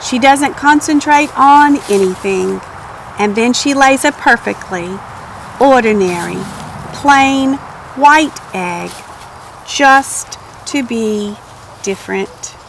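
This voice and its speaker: A woman's voice: fairly high pitch, lively intonation, slow, vocal fry, slight background noise, low-quality recording. A woman narrating a children's storybook expressively. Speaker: storybook reader.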